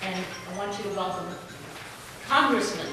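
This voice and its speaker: A woman's voice: mid-range voice, animated, normal speed. A woman, a state assembly representative, giving a friendly, chatty introduction at a town hall. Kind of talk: casual public introduction. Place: community hall. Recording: echoey hall, noisy, voice from far off.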